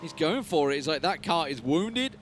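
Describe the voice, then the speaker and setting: A man's voice: a little high, very animated, normal speed, faint music behind. A man casting a live esports match on a headset, chatty and analytical, and he laughs easily. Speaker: esports caster.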